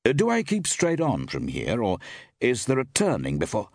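A man voicing a courteous, easygoing gentleman traveller in an audiobook story, polite and measured in manner. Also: medium pitch, wildly swooping pitch, dry recording, clean studio sound, voice pushed up.

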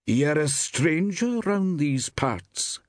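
An inquisitive, guarded village shopwoman, as a male narrator plays her. The voice is lifted well above his own and husky at the edges. She draws her words out deliberately and keeps a cool reserve. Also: fairly high pitch, very animated, no echo, studio quality, voice pushed up, exaggerated voice.

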